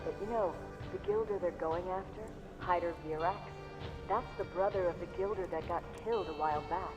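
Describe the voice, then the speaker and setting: A man's voice: sits high, fairly quick, natural voice. An actor playing a gossipy male bartender in a game, talking casually and confidingly. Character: chatty, gossipy male bartender in a rough underhive. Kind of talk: cutscene voice acting, casual barroom gossip. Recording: dull sound under clear music.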